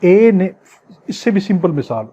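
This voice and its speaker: A man's voice: sits high, sing-song, unhurried, mellow, breathy edge. A man with a Pakistani accent teaching in a lecture style, explaining phrase by phrase and repeating key points. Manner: didactic, explains phrase by phrase with repetition. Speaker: law teacher. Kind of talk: lecture, reading English statutes aloud and explaining them in Urdu. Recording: dull, muffled lo-fi audio.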